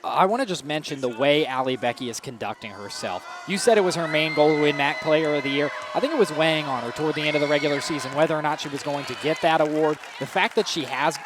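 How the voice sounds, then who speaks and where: A man's voice: fairly high pitch, fast, few pauses. A man working as color analyst on a live basketball broadcast from an arena, breaking down plays. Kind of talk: live analytical sports commentary. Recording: noisy live arena broadcast, bouncing balls.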